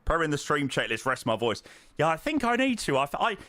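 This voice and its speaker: A man with a hoarse, croaky voice, chatting casually to his stream audience and rambling with lots of ums. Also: fairly high pitch, big pitch swings, fast, sharp tone, no room sound. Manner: rambling, self-interrupting, frequent ums. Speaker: flight sim streamer.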